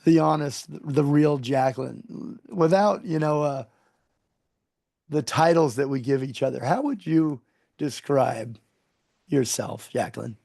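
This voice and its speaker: A man's voice: a little high, unhurried, vocal fry, darkish tone, no echo, clean studio sound. A man hosting an interview show, praising his guest effusively with frequent restarts. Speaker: show host.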